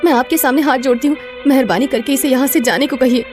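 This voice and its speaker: A woman's voice: high voice, fairly even intonation, fast, music in the background, pitched above the performer's own voice, natural voice. A woman voicing a young shape-shifting serpent wife who speaks respectfully and pleadingly in a moral story.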